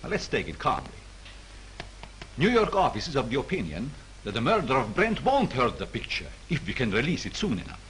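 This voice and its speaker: An actor playing a calm, persuasive studio executive in an old 1930s film recording. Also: male voice, medium pitch, fairly quick. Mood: reasoning. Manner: soothing, persuasive. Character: calm studio executive, middle-aged man. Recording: scratchy 1930s film soundtrack.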